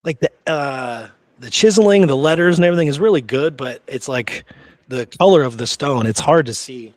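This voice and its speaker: A man's voice: fairly high pitch, lively intonation, normal speed, no room sound. A man co-hosting a history podcast, telling old stories in a casual, colloquial way. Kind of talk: casual conversational history storytelling.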